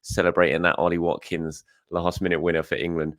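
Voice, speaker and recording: A young adult man who hosts a football news show, talking in a casual, chatty way. He is hoarse and often uses fillers and corrects himself as he goes. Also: sits low, completely flat delivery, fairly quick, fairly bright tone, dry recording, clean studio sound. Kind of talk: casual solo commentary.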